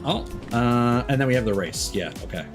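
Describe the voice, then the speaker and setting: A man's voice: medium pitch, animated, normal speed, music in the background. A man live-streaming a game with casual commentary, rambling and thinking aloud as he goes.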